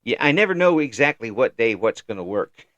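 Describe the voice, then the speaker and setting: A man in his fifties hosting a casual chat interview, talking in a halting, rambling way with repeated words. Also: mid-range voice, big pitch swings, fairly bright tone, no echo, highs cut off. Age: 50s. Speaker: interview show host.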